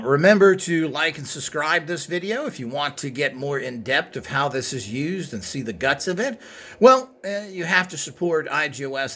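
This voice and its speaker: Bright, creaky-voiced man presenting informally. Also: mid-range voice. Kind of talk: informal show-and-tell narration.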